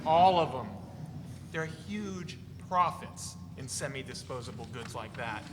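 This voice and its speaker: A man's voice: medium pitch, expressive, unhurried, noisy background, lightly acted. An actor reading the part of a joking young man who spins tall tales over dinner, recorded live in a reverberant hall. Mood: teasing. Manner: bright, teasing, rambling banter. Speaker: reader in a staged reading.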